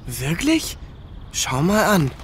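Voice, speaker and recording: An actor playing a worried, determined teenage musician in a children's audio drama, talking in casual youthful slang. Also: male voice, fairly high pitch, wildly swooping pitch, slow pace, a little hiss or hum, exaggerated voice. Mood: puzzled.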